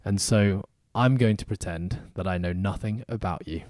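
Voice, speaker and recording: A man's voice: sits low, moderate pace, a little mellow, no room sound, studio quality. A man hosting a podcast in casual interview style, chatty and upbeat with joking asides. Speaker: podcast host. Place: podcast studio in Hong Kong.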